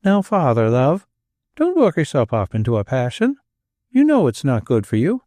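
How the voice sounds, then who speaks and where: A man voicing a little girl who soothes her father in a gentle, motherly way. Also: high-pitched, big pitch swings, moderate pace, clear, resonant tone, dry recording, clean studio sound, pitched above the performer's own voice. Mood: soothing. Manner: gentle, maternal.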